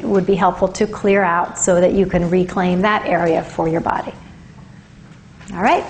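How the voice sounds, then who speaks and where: Woman in her 50s teaching a holistic health class, mid pitch, measured, pauses on purpose. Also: slight background noise. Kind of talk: informal lecture to a class.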